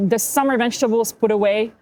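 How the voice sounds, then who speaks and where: A woman's voice: fairly high pitch, fairly even intonation, quick pace, warm tone, a little hiss or hum. Candid woman guest in her fifties. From Hungary. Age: 50s. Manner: candid, storytelling, filler-laden. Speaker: podcast guest, functional health practitioner. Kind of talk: conversational interview.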